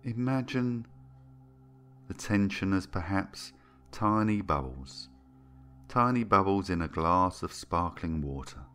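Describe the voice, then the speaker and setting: Very deep-voiced man guiding a meditation. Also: animated. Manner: soothing, gentle, unhurried with long pauses. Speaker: hypnotherapist, meditation guide. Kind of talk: guided meditation narration. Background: background music.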